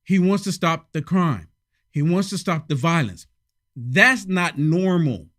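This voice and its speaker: A man hosting casual political commentary, sarcastic and emphatic, a little hoarse from a head cold. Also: fairly high pitch, animated, unhurried, a bit bright.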